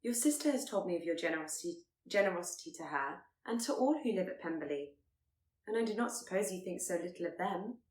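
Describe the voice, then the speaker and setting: A woman voicing a spirited, candid and tender young Regency gentlewoman, articulate and earnest. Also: lowish voice, expressive, fast talker, reverberant, close to an everyday voice, low-quality recording.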